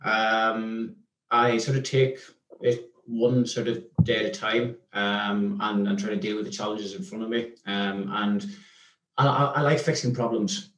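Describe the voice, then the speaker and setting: Interview guest: Northern Irish man, lowish voice, hesitant, recorded in a roomy space. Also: flat delivery, measured pace, a bit bright, a little hiss or hum. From Northern Ireland. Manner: hesitant, many restarts and ums. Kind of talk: casual interview chat. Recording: echoey room.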